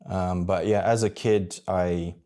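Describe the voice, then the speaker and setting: Man, 30s, from Malaysia; deep, dark, gravelly voice; candid, introspective vlogger who talks haltingly. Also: fairly even intonation. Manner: halting, searching for words, many restarts. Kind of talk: personal reflective monologue.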